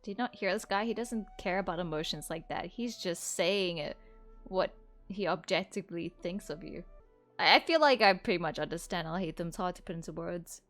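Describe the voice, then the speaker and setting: A woman's voice: on the low side, brisk, a bit bright, soft background music. A woman hosting a casual game reaction, chatty and laughing often. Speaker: video host reacting to a game.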